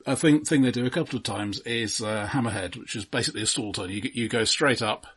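A man's voice: medium pitch, fast, a bit bright, husky. A man co-hosting a casual film discussion, precise and explanatory with a dry wit. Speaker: film podcast co-host.

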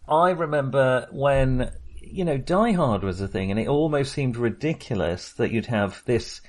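A man's voice: medium pitch, measured pace. A man co-hosting a casual film discussion, thinking aloud in a rambling, hesitant way. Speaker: podcast co-host.

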